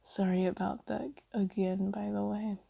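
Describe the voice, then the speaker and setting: Woman acting as gentle apologetic demoness, ASMR roleplay, breathy half-whisper, unhurried, subdued narrow intonation, soothing. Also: mid-range voice, little pitch movement, heavily acted. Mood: shy, gentle. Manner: gentle, hesitant, reassuring. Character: kindly apologetic female sleep paralysis demon, gentle comforter.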